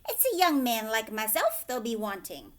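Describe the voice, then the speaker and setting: A woman's voice: a little high, very animated, moderate pace. A woman voicing a boastful, selfish young man in a storybook, with a sneering, scheming manner. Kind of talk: read-aloud storybook character.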